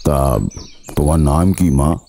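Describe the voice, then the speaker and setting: Very deep, mellow elderly uncle played by a man. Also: steady tone, soft background music, heavily acted. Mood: kindly welcoming. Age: older. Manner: halting, gentle, fatherly. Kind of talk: cartoon drama dialogue.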